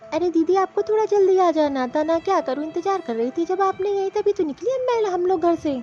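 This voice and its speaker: A woman's voice: very high-pitched, lively intonation, a little fast, mellow. A woman voicing a young daughter-in-law in a cartoon family story, offhand and defensive as she makes excuses. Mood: breezy, evasive. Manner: offhand, defensive, brushes complaints aside. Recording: dull, lo-fi cartoon voice track.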